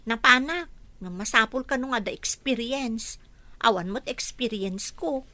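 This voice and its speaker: A woman's voice: fairly high pitch, unhurried, pushed and bright, no echo. A woman playing a whiny, discouraged jobless younger sibling in a radio comedy drama. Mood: complaining. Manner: complaining.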